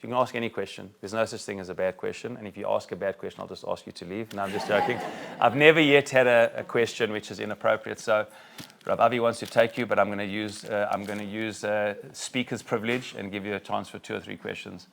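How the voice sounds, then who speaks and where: A middle-aged South African-born rabbi giving a talk to a group and taking questions, joking and animated, with many restarts. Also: male voice, on the low side, fast talker, creaky. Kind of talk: talk with audience Q&A. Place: room with a live audience.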